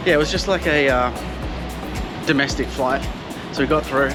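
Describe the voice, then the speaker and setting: A man casually vlogging his trip through an airport and onto a yacht, relaxed and joking. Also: mid-range voice, normal speed, music in the background.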